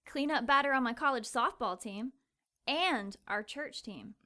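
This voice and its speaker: A plucky young woman in an audio drama. Her voice sits fairly high, and she is questioning and doubtful, yet game. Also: measured pace, pushed and bright. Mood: determined.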